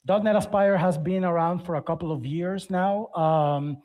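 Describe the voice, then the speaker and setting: A middle-aged man gives an informal tech presentation, haltingly, often repeating words and phrases. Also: sits high, phone-quality sound. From Dominican Republic. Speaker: conference speaker, software developer.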